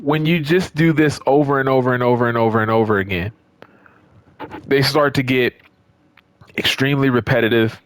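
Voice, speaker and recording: A man's voice: mid-range voice, moderate pace, long pauses, a little hiss or hum. A man giving casual, off-the-cuff commentary on a video game, emphatic and repeating words for stress. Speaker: gaming video creator.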